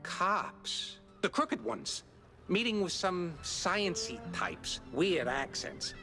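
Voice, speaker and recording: A small-time cartoon snitch with a high, swooping voice. He is obsequious and shifty, stammering his way through 1930s gangster patter over loud music. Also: sing-song, slow pace, full character voice. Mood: eager, shifty. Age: young adult. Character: fawning, shifty small-time informant, cartoon rodent around thirty. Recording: music behind the voice.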